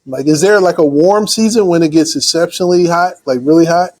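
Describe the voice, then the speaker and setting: A man's voice: fairly high pitch, a little fast, a little mellow. A man hosting an interview show, asking questions hesitantly and often repeating his words. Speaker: show host.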